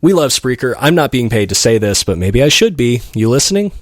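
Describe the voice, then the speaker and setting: A man's voice: mid-range voice, very animated, fairly quick, dry recording, clean studio sound. A middle-aged man hosting a radio show as DJ, chatting casually off the cuff with a wry, joking manner. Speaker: radio DJ and host. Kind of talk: casual ad-libbed radio chat.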